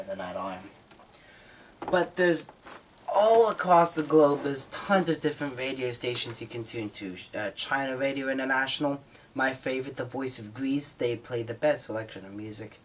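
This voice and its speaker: An American man giving an informal, explanatory hobbyist talk, somewhat halting, with repeated words as he explains. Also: medium pitch. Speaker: hobbyist presenter.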